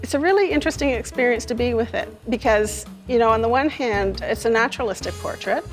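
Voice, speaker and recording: A woman's voice: fairly high pitch, fast, soft background music. A woman giving reflective, conversational commentary on art, as in an interview.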